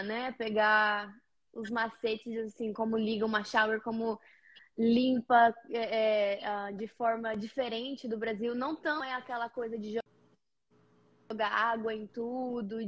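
A woman's voice: on the high side, highs cut off. A woman from Paraná, Brazil, a guest on a video-call interview, friendly and slightly nervous, with many fillers. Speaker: interview guest, house cleaner. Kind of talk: casual interview.

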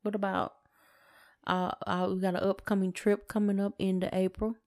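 A woman's voice: mid-range voice, little pitch movement, moderate pace, a little mellow, dry recording, studio quality. A middle-aged woman chatting casually and conversationally as a podcast co-host. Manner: colloquial, conversational. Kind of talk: casual chat.

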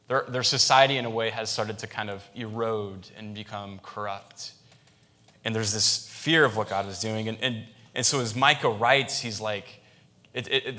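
A man's voice: mid-range voice, sharp tone. A man, a pastor, giving a sermon in a conversational style, with frequent restarts and fillers.

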